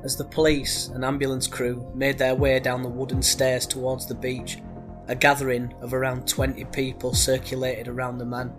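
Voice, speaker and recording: Podcast host with a cold, level monotone storyteller over a music bed. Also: male voice, mid-range voice, normal speed. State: has a head cold. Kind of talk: true crime narration.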